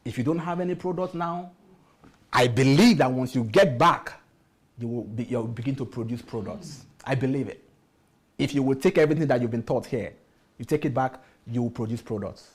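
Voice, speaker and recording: A man's voice: mid-range voice, normal speed. A man speaking at a motivational workshop, emphatic, repeating key phrases and putting rhetorical questions to his audience.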